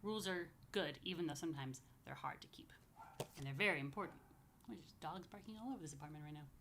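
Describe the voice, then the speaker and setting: A woman's voice: sits low, fast talker, a bit bright, a little hiss or hum. A woman rabbi leading a casual story time for young children, explaining things simply and repetitively with chatty, playful asides. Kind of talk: casual, child-friendly religious talk with blessings. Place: apartment.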